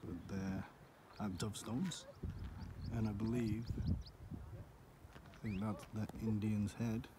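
A man's voice: fairly low pitch, flat delivery, slow pace. Joking man, noisy outdoor recording. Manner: joking, informal. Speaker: vlogger. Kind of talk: casual chat while filming a hike. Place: outdoors on a moorland reservoir trail. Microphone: handheld phone camera. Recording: noisy outdoor phone recording, wind and handling clicks.

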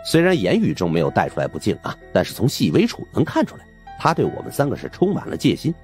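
A man's voice: lowish voice, wildly swooping pitch, fast, darkish tone, soft background music. A man narrating an audiobook as a colloquial, conversational storyteller.

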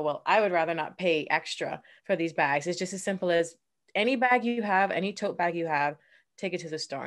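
A young woman from the Bahamas speaks in a lowish voice, explaining things earnestly and at length. Her pace shifts between quick bursts and slower, careful stretches. She talks over a video call from a room at home. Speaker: marine conservationist guest. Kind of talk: casual interview.

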